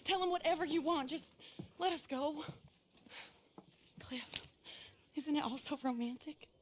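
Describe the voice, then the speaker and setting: A woman's voice: high voice, unhurried, slight background noise. A woman playing a defiant, dramatic young runaway wife in a western game cutscene. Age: young adult. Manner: dramatic, emphatic. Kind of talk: video game cutscene acting.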